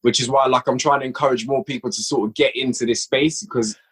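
A man guesting on a podcast, talking about his audio drama work in a relaxed, rambling way with lots of fillers. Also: medium pitch, fast talker, breathy edge. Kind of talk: casual podcast chat.

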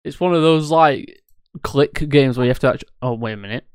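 A man's voice: mid-range voice, a little fast, smooth, no echo, studio quality. A young man co-hosting a podcast, bantering casually with friends in a blunt, sweary way. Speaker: podcast co-host.

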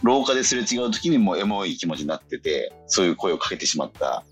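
Very deep-voiced man chatting on radio. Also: big pitch swings, fast, slightly rough. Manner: chatty, informal, frequent short backchannel replies. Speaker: radio show co-host. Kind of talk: casual radio chat. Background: background music.

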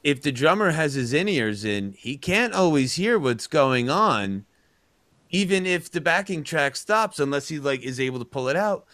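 A man's voice: a little high, big pitch swings, normal speed, a bit bright, no echo. Chatty man with a dry, close sound. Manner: rambling, chatty, many fillers. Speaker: podcast co-host. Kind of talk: casual chat.

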